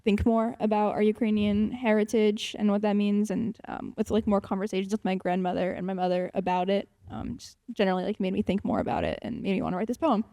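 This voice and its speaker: A teenage girl with a soft, warm voice, reading her poem slowly and then answering questions in a hesitant way, full of "um" and "like". She starts sentences over and searches for her words. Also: medium pitch. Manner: hesitant, many fillers and restarts. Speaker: student poet. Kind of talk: poetry reading and casual answers.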